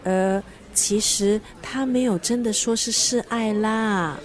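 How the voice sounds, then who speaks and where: A woman's voice: mid-range voice, steady tone, takes it slowly, a little hiss or hum, close to an everyday voice. A woman acting in a radio drama as a teasing, bossy family friend who plays matchmaker. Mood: scheming, brisk.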